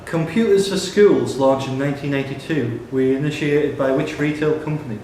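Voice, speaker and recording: A man's voice: medium pitch, a little fast, darkish tone. A man reading out questions to players as host of a live pub quiz. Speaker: quiz reader. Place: indoors in a pub. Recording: very echoey room, distant mic, background noise.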